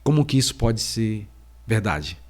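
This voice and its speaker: A middle-aged man, a podcast host and preacher, giving a slow, halting personal faith testimony while he has a cold. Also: fairly low pitch, sing-song. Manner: slow, halting, heavy pauses.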